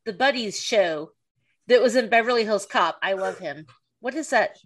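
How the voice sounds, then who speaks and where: A woman's voice: medium pitch, lively intonation, normal speed. A middle-aged woman hosting a podcast, chatting casually and restarting her sentences often. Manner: chatty, many restarts and "you know". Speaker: podcast host. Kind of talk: casual chat.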